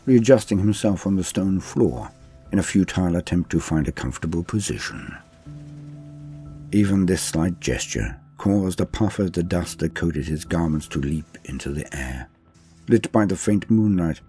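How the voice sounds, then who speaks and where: A man's voice: low-pitched, dark tone. A man giving a solemn, theatrical dramatic reading of a science fiction war story as its narrator.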